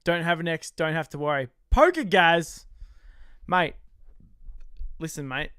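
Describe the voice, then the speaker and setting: An Australian man co-hosting a casual recap chat, loud, jokey and excitable, trash-talking on a close mic. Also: on the high side, unhurried, clean tone, dry recording. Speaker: podcast co-host.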